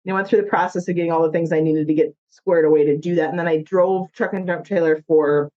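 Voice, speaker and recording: Low-voiced Minnesota woman, lo-fi audio. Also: steady tone, fast. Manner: chatty, laughs often, many "like" fillers. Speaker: guest, construction company owner. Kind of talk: casual interview storytelling.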